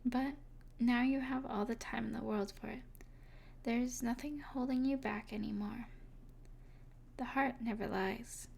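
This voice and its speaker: A woman playing an evil sorceress, possessive and tenderly devoted, speaking softly and deliberately in an intimate ASMR roleplay. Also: on the high side, fairly even intonation, gravelly, slightly rough, heavily acted. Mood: tender, seductive. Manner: soft, deliberate, soothing yet possessive.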